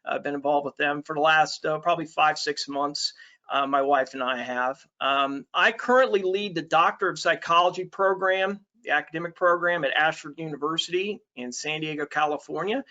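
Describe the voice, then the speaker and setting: A middle-aged man from Alabama presents a coaching talk in a friendly, easygoing way, with plenty of ums and uhs. His voice is fairly high-pitched and bright. The sound is dull and lo-fi, like an online call. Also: normal speed. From Alabama, USA. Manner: friendly, many fillers. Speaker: basketball coach presenting a webinar.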